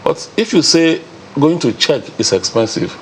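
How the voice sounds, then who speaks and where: A man's voice: a little high, very animated, moderate pace, a little hiss or hum, rough recording. A man speaking as a guest on a TV panel, emphatic and repeating phrases for effect. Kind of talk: studio discussion.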